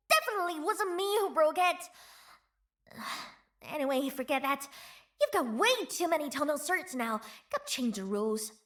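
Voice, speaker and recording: A woman voicing a young, playful, proud feline girl who leads her village, teasing and quick to laugh, for a video game. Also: high-pitched, bright, forceful tone, exaggerated voice. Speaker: game character voice.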